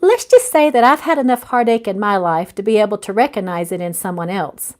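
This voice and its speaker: A woman voicing a compassionate, inquisitive stranger who gently encourages confidences in a romance novel. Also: mid-range voice, expressive, fairly quick, lightly acted. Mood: kind, probing. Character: kind, probing, compassionate stranger with past heartache.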